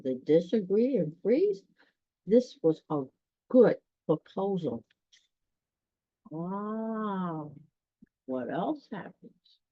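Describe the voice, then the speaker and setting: A woman reading a children's story aloud, pausing dramatically and chatting directly to her listeners. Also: on the low side, no echo. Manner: dramatic pauses, gasps, talks directly to listeners. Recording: dull, dry lo-fi sound.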